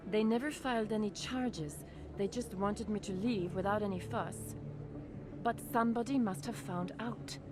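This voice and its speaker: A woman's voice: medium pitch, natural voice. Woman playing an anxious clinic doctor. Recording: game audio with music.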